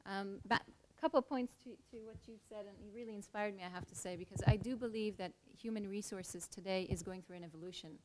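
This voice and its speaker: A woman's voice: medium pitch, fast talker, few pauses, a little mellow, slight background noise. A middle-aged woman from Israel, speaking earnestly on a panel as an HR leader and telling a personal story.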